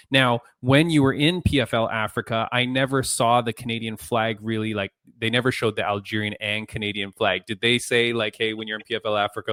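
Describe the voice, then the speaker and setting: A male podcast host, asking questions in an excited, casual way, full of "dude" and slang. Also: mid-range voice, dry recording. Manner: excited, says "dude" and "frickin'".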